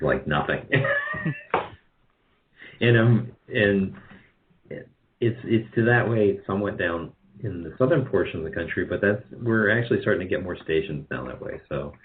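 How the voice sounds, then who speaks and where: A man with a very low voice talks casually as a guest in an interview. He speaks haltingly, circling back and correcting himself as he goes. The audio is narrow and muffled, like a lo-fi call. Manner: halting, rambling, self-correcting. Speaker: guest, radio drama producer.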